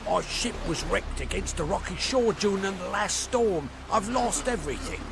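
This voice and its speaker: A desperate, courteous merchant from a fantasy game. His high voice is animated and creaky. He speaks formally and earnestly, with clear ambient noise around him. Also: moderate pace. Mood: desperate, pleading. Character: desperate, polite shipwrecked merchant man facing bankruptcy. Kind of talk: video game voice acting.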